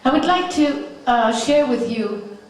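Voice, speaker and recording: A woman with an Icelandic accent giving a prepared conference talk, measured and pausing often with "uh" fillers. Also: high-pitched, lively intonation. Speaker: conference speaker. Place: conference hall. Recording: echoey hall, mic at a distance.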